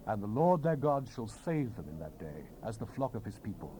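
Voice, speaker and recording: A man's voice: lowish voice, sing-song, normal speed. A man giving a solemn, declamatory and theatrical dramatized reading of King James scripture on a hissy old cassette recording. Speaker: scripture narrator. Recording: hissy 1970s cassette rip.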